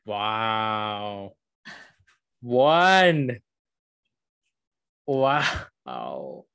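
A man co-hosting a book podcast, chatting casually and defending his opinions in an animated, playful way. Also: on the low side, drawn-out words, gravelly, clean tone.

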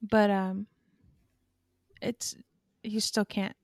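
A woman's voice: medium pitch, little pitch movement, extremely slow, a little mellow, dry recording, clean studio sound. A woman co-hosting a casual faith podcast, speaking thoughtfully and steadily. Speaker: podcast co-host.

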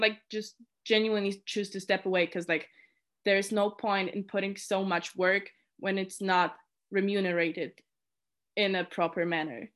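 A young woman from Poland, a native Polish speaker, chatting casually as a committee chair in an interview and filling her talk with "like" and restarts. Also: medium pitch. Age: young adult. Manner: chatty, many "like" fillers and restarts.

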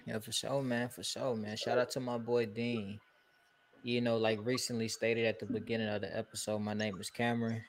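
A young man from Houston chats on a podcast in a low, gravelly voice that stays flat and even. He talks laid-back and loose, leaning on easy filler phrases. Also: moderate pace. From Houston, Texas. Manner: laid-back, peppers talk with "you know" and "bro". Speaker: podcast co-host. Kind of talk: casual podcast chat.

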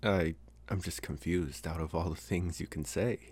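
A man playing a smitten young college vampire in an intimate, hesitant, soft-spoken ASMR roleplay. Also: low-pitched, steady tone, breathy edge. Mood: hesitant, earnest. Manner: hesitant, soft-spoken, slow with long pauses. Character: young male college vampire, smitten, calm, gently possessive. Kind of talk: ASMR roleplay, intimate one-sided dialogue.

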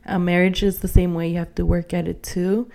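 Woman, podcast co-host, slightly low pitch, soft mellow tone, casual chat, thinking aloud. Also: level tone, brisk, gravelly.

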